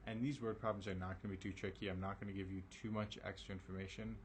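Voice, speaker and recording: A man with a low, raspy voice works through an algebra lesson, tired from the late hour. He keeps it casual and reassuring, checking in with lots of ums and okays. A faint noise sits behind him. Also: flat delivery, fast. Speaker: math teacher. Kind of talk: recorded math lesson.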